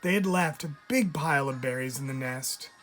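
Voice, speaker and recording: A man, a teacher, reading a picture book aloud in an expressive storytelling style, stressing key words. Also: medium pitch, moderate pace. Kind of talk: expressive read-aloud narration for children. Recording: music under the voice. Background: music.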